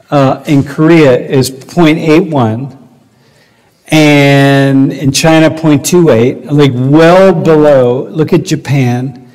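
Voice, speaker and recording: Professor questioning students in an echoey hall. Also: male voice, mid-range voice, darkish tone. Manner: probing, rephrases questions. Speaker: professor leading a class discussion. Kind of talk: lecture-hall conversation with students. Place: large lecture hall.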